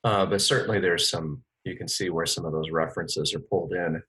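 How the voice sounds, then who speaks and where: A man with a very deep, husky voice speaks as a guest lettering artist. He explains his approach in a measured, articulate way. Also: fairly even intonation, fairly bright tone. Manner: measured and articulate, with occasional fillers. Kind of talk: casual interview answers.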